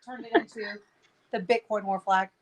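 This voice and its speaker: A woman's voice: mid-range voice, fairly even intonation, normal speed. A brash, emphatic woman telling things in a casual group chat over a video call, swearing freely. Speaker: panel guest.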